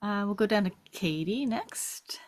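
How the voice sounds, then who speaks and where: A woman's voice: medium pitch, expressive, unhurried. A woman hosting in a casual chat style, giving brief prompts. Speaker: host.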